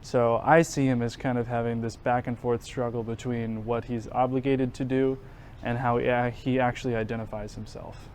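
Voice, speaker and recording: American dude from maryland, theatre actor interview, average pitch, little background noise. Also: male voice, little pitch movement, normal speed, a little mellow. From Maryland, United States. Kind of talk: cast interview.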